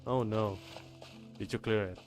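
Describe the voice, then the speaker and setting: A man's voice: on the low side, fairly even intonation, measured pace. A man giving casual, off-the-cuff commentary as he livestreams a video game. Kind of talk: casual live gaming commentary. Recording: game music behind the voice.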